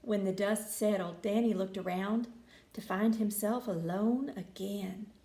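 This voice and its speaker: A woman reading a children's picture book aloud with expressive, folksy inflection. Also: medium pitch, a little slow, roomy sound, slight background noise. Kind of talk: animated children's story reading.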